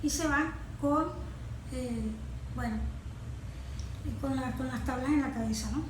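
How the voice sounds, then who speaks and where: High-pitched Venezuelan woman explaining. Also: measured pace. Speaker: environmental consultant, guest. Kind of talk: explanatory interview talk. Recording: roomy echo, some background noise.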